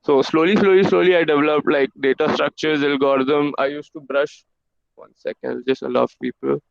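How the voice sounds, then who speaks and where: A young man in his twenties, a native Hindi and Urdu speaker, gives a motivational webinar to students and coaxes them along in a fairly high voice. He stops and restarts often with "uh" fillers. The sound is dull and lo-fi, like computer-mic audio on an online call. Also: steady tone, creaky, no room sound. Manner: halting, with many "uh" fillers, encouraging. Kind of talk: motivational career-advice talk.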